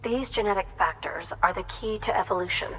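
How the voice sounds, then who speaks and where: A woman's voice: medium pitch, fairly quick, pushed and bright, slight background noise, low-quality recording. An actress playing an earnest female biologist in a video game, speaking in an articulate, precise, explanatory way. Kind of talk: video game cutscene dialogue.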